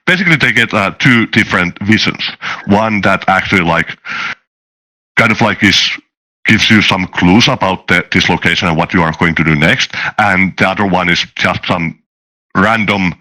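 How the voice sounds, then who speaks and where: A man's voice: low voice, bright, forceful tone, poor audio. A man telling game-master anecdotes casually on a podcast, circling back and repeating phrases as he goes.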